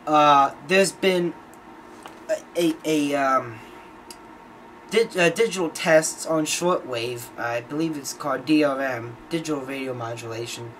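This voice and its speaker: A man's voice: on the high side, measured pace, fairly bright tone, a little hiss or hum. A man giving a casual, unscripted monologue as a hobbyist host, informal and opinionated in his way of talking. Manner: informal, opinionated, mildly swearing. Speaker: shortwave radio hobbyist host.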